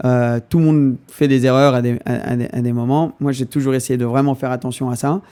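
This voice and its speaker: A man's voice: medium pitch, steady tone, fairly quick, warm tone, clear, resonant tone. A young man whose first language is English, a podcast guest who talks casually and hesitantly while he searches for French words.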